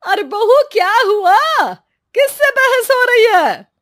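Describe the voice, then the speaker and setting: A woman's voice: very high voice, expressive, takes it slowly, a bit bright, pitched above the performer's own voice, full character voice. A woman voicing a caring, emotional elderly mother-in-law in a family drama, fussing and affectionate. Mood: fussing, concerned.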